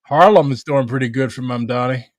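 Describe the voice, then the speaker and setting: A man's voice: mid-range voice, a little fast, dry recording. A man casually reading out election returns to friends and cracking crude jokes. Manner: crude, wisecracking. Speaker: watch party co-host. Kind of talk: casual commentary on results.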